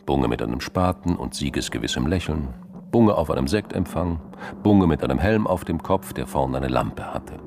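A man narrating a radio crime drama in measured short phrases, with noise behind his voice. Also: a bass voice, lively intonation, darkish tone. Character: male narrator of a political crime story.

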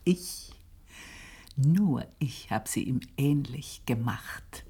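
A woman's voice: extremely low pitch, dark tone, echoey room, exaggerated voice. An actress playing an earthy, proud, aging housemaid, confiding her memories in a radio play monologue. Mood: intense, secretive. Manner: confiding, unhurried storytelling with pointed pauses. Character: earthy, proud aging housemaid reminiscing about past desires.

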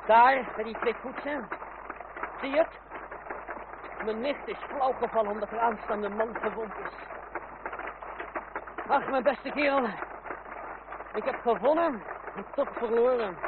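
Casting: ironic, guarded young French gentleman, fairly high voice, theatrical radio drama delivery, tinny old recording. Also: a little slow, pauses often, noisy background. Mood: bitter irony. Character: sardonic, cool young Frenchman hiding his feelings. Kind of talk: radio play acting.

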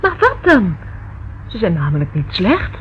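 A woman's voice: medium pitch, wildly swooping pitch, measured pace, a bit bright. An actress in a radio play as an anxious, loyal young woman, hesitant, pleading and emotional. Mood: uneasy, earnest. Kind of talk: Dutch radio play acting. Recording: dull lo-fi old radio recording, faint noise.

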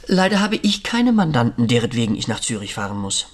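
An actor playing a pompous, evasive middle-aged lawyer, formal, stilted and patronising, in a German radio crime drama. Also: male voice, mid-range voice, very animated, brisk.

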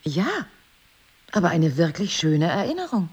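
Poised evasive wife, low-pitched, expressive, animated, teasing irony, naturalistic drama dialogue. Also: female voice, unhurried, breathy edge, muffled recording, exaggerated voice. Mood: flirtatious. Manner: articulate, ironic, expressive. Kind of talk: two-hander relationship drama acting, naturalistic.

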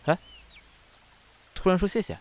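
A man playing a lively, impulsive, easily huffy young man in an audio drama, expressive and blunt. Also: unhurried, a little hiss or hum, close to an everyday voice. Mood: curious, excited. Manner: expressive, blunt, playful grumbling. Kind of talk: Chinese audio drama acting.

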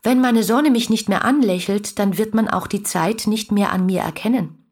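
An actress playing an ardent, dreamy young letter writer, breathy and confiding, in a radio play. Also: female voice, mid-range voice, moderate pace, a little mellow, close to an everyday voice. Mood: confiding, musing. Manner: musing, confiding, intimate.

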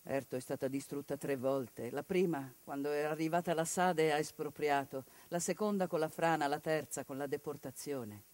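A woman performing a one-woman theatre monologue, speaking with passion and swinging between hushed lines and forceful ones. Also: deep voice, moderate pace, few pauses, dry recording.

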